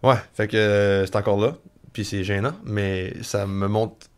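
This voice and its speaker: A man with a Canadian French accent hosting a podcast, easygoing and quick to agree in casual chat. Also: on the low side, steady tone, clear, resonant tone. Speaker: podcast host.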